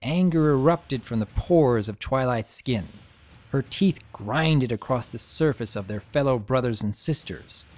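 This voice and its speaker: Expressive male storyteller, lively pitch. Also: a little slow. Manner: expressive, theatrical. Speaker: fan-fiction narrator. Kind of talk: dramatic scripted storytelling narration.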